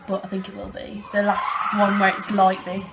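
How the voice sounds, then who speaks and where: A woman's voice: mid-range voice, monotone, moderate pace. A woman chatting casually in a group interview as an amateur cast member. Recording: clear background noise, room ambience.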